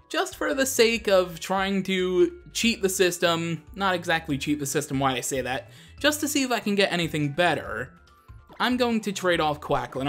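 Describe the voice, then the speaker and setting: A man's voice: high voice, fairly bright tone. A man doing casual, chatty gameplay commentary as the host, often poking fun at himself. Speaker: gaming show host. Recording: music behind the voice. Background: video game music.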